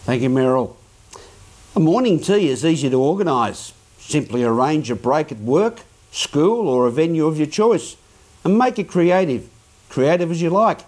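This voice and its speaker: A man's voice: a little high, animated, moderate pace, a little mellow. A man with an Australian accent reading scripted links as a television news anchor.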